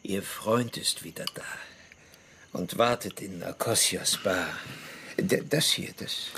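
An actor playing a solicitous, plain-spoken village man in a radio drama, speaking haltingly. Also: deep voice, pushed and bright, slight background noise, exaggerated voice. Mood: concerned. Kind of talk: German radio drama acting.

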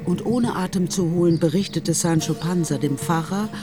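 A man's voice: high-pitched, moderate pace, over loud music. A man narrating a literary radio play in a scripted storytelling style.

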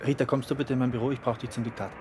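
A man's voice: medium pitch, flat delivery, racing, lightly acted. An actor playing a commanding male boss in a works office, in a radio drama. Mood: businesslike. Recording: loud noise behind the voice.

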